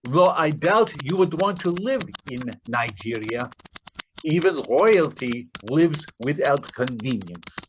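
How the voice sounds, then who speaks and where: A man playing a desperate Russian scammer posing as a loving suitor, composing haltingly aloud in readers theater, on a noisy recording. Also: fairly high pitch, lively intonation. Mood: mournful, manipulative. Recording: noisy recording with clicking keys.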